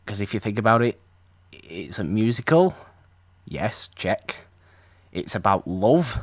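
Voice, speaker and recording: A man's voice: fairly low pitch, sing-song, unhurried, no room sound. A man co-hosting a podcast, chatting casually about an old film and rambling with restarts. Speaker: podcast co-host.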